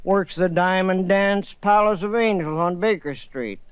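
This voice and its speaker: An actor in 1950s radio drama playing a nervous ex-convict who runs a rooming house, folksy and evasive. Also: male voice, sits high, unhurried, clean tone, no room sound. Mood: jittery. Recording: dry, dull old radio broadcast.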